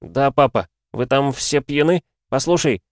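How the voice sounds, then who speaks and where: A man's voice: medium pitch, unhurried, dry recording, studio quality, pitched above the performer's own voice, close to an everyday voice. A man voicing a frightened young boy in an audiobook, crying and desperately pleading with his parents. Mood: urgent.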